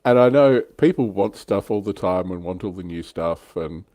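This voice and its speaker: A man with a low-pitched voice hosts a relaxed panel chat. His tone is dark and mellow, and the sound is close and dry. He speaks informally, like a regular presenter among fellow hobbyists. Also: normal speed, no room sound. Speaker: host and reviewer on a tabletop wargaming livestream.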